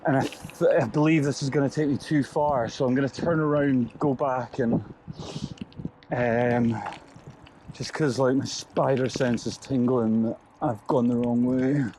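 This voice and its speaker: A man's voice: mid-range voice, fairly even intonation, unhurried, noisy background. A man chatting casually and rambling with many fillers as he cycles along a coastal road. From Scotland. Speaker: vlogger cycling. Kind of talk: casual chat while riding. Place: outdoors on a bike by a coastal road.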